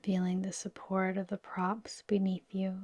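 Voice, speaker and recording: A woman with a soft, whispery voice gently guiding a yoga nidra relaxation in a soothing, unhurried manner. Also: medium pitch, monotone, no echo. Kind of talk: guided relaxation meditation.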